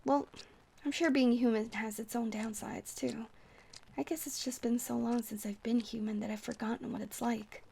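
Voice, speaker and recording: A woman playing a shy, friendly vampire who rambles hesitantly and flirts sweetly in an ASMR roleplay. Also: a little high. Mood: awkward, chatty. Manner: hesitant, rambling, soft-hearted, awkwardly stammering.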